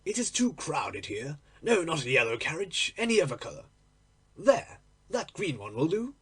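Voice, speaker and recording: A man voicing a troubled, impatient, lovestruck gentleman in a storybook reading, earnest, dramatic and deliberate. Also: on the high side, big pitch swings, slightly rough, full character voice. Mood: guarded. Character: troubled, impatient, lovestruck English gentleman telling his secret.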